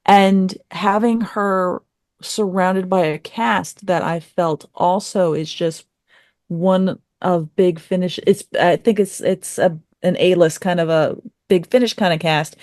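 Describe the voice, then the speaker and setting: Lower-pitched woman chatting on a review podcast. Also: fairly even intonation, measured pace, a little mellow, dry recording, clean studio sound. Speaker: podcast panelist. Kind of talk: casual review discussion.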